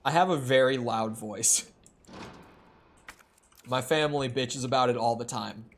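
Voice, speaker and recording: A man's voice: mid-range voice, measured pace. A young man streaming a horror game from home, chatting in a rambling, self-deprecating way with lots of restarts. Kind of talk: casual chat while playing a horror game. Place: home room. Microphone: streaming desk mic.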